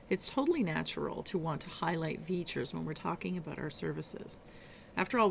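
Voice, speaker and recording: A middle-aged woman hosts a solo coaching podcast, her voice hoarse and gravelly and pitched on the low side. She explains things in a conversational way and sometimes stops and restarts a phrase. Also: a little hiss or hum. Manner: warm coaching tone, conversational with some halting restarts. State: hoarse, rough voice. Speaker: podcast host and virtual assistant coach.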